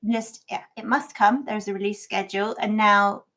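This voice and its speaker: A woman's voice: mid-range voice, steady tone, normal speed, a bit bright. An English woman co-hosting a podcast livestream, speaking candidly and with self-deprecating humor, often laughing. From England. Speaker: podcast co-host and writer. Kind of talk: casual livestream chat.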